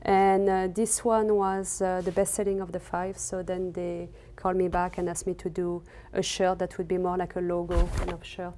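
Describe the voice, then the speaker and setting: Casting: a lower-voiced woman with a French accent, an artist who speaks haltingly and gently in her studio. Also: flat delivery. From raised in Senegal and Cameroon. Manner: hesitant, many fillers and restarts. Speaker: textile artist guest. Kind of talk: casual interview.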